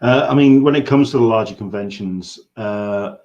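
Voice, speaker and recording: Halting English man hosting a casual chat. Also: fairly low pitch, a little mellow, lo-fi sound. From England. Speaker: interviewer and host. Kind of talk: casual livestream conversation.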